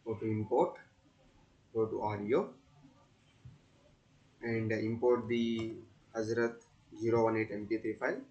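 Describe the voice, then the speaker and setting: A man narrates a step-by-step software tutorial as a student presenter, in halting, repetitive instructional phrases. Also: on the low side, level tone, lo-fi sound.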